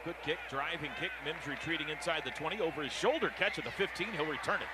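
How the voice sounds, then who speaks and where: A man with a fairly high voice and an American accent gives live radio play-by-play of a football game. He speaks in clipped, running descriptions, with very loud stadium noise behind him. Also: fast. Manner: clipped running descriptions, building to shouts on big plays.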